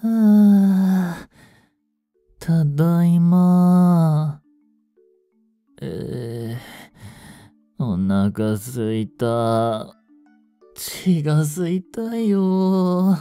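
A man acting a languid, very mellow vampire little brother. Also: a little high, lively intonation, extremely slow, long pauses, soft background music, exaggerated voice. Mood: drained, whiny. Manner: coaxing, languid, politely menacing. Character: soft-spoken, teasing, hungry vampire younger brother. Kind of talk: Japanese romance voice drama acting.

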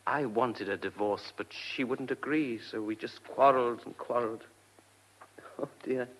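Edgy fugitive husband, ex-soldier, medium-pitched voice, hesitant, earnest, radio drama. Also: male voice, a bit bright, poor audio. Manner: hesitant, repeating words, earnest confessing.

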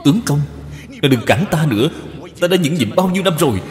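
A man's voice: on the high side, expressive, fairly quick, voice pushed up, close to an everyday voice. A man voicing an aggrieved but filial scholar husband in a cartoon, formal and emotional. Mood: indignant. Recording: long reverb, music behind.

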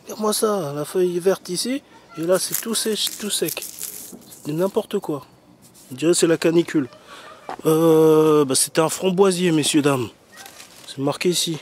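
High-pitched man rambling, noisy outdoor recording. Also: moderate pace, long pauses, a little mellow. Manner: teasing, mock-complaining, slangy. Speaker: vlogger filming a garden. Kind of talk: casual rambling video commentary. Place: outdoors in an overgrown garden. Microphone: handheld camera mic.

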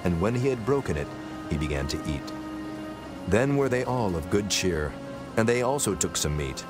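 Very deep male scripture narrator over music. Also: sing-song, moderate pace.

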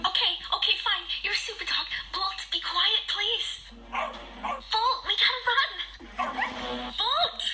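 A woman's voice: extremely high pitch, slow pace, pauses often, sharp tone, full character voice, poor audio. A woman voicing a sarcastic, streetwise female cat in a cartoon fandub, with a mocking manner. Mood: panicked, pleading. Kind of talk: animated film fandub.

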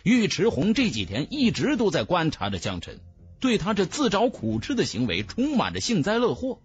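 A man's voice: high voice, huge pitch swings, normal speed, breathy edge, highs cut off. A man narrating a fantasy novel as a scripted audiobook, with emphatic, dramatic storytelling.

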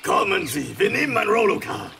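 A man's voice: sits high, very animated, a little fast, creaky, very noisy, heavily acted. An actor playing a polite but patronising male alien host in a radio drama. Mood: courteous.

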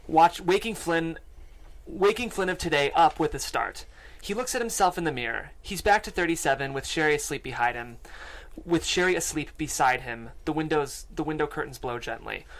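A table read narrator delivers scripted stage directions from a screenplay. The voice is of medium pitch and is recorded on a studio microphone. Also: normal speed, fairly bright tone. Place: podcast studio. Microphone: studio microphone and headphones.

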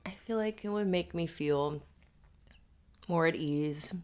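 A woman playing an affectionate, playful girlfriend in an intimate ASMR roleplay, soft-spoken and hesitant. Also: low voice, slow pace, faint music behind. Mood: tender, musing.

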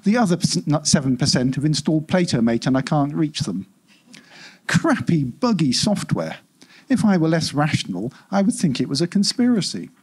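A man as a fretful household AI, exasperated, self-important, indignant, emphatic, husky, swooping pitch, live story reading. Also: big pitch swings, normal speed, a little mellow. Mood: irritated.

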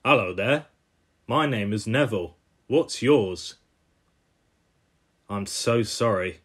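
A man's voice: on the low side, lively intonation, slow, fairly bright tone, slightly rough, heavily acted. A man voicing a meek male engine with an apologetic, hopeful manner, cartoon style. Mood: shy.

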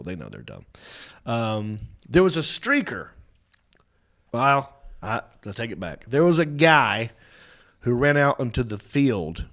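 Animated man telling a story. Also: on the low side. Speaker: podcast host. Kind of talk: casual comedic chat. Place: podcast studio. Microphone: studio mic on boom.

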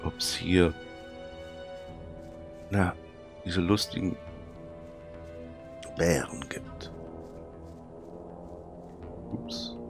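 A man with a cold and a hoarse, thick voice, casually commenting on his gameplay and muttering to himself as he plays. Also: low-pitched, fairly even intonation, drawn-out words, long pauses, over music. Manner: chatty, muttering to himself while playing. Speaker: let's play commentator.

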